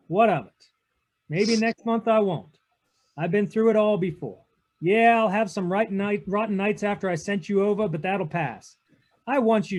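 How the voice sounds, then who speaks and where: A man's voice: high voice, darkish tone. A man playing a cynical, unyielding private detective in a 1940s-style radio drama, with a clipped, tough-talking delivery. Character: hard-boiled male private detective, cynical, unyielding to his lover. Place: online video-call read-through.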